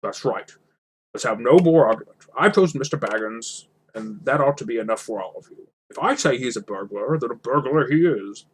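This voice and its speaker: A man's voice: mid-range voice, expressive, a little fast, husky, poor audio. A man voicing a stern old wizard from a storybook, speaking with emphatic authority. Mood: stern, commanding.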